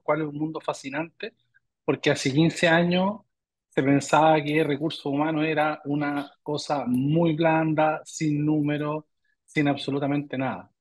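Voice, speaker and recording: Chilean man, thoughtful and fairly high. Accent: Chilean Spanish. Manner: thoughtful, repeats words while searching for phrasing. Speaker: guest. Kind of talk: interview answers.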